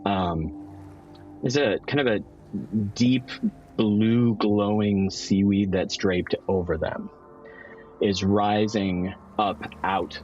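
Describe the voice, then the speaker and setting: A man acting as game master, narrating a tabletop roleplaying scene in halting, descriptive phrases. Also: fairly low pitch, fairly even intonation, a little mellow, dull audio, soft background music.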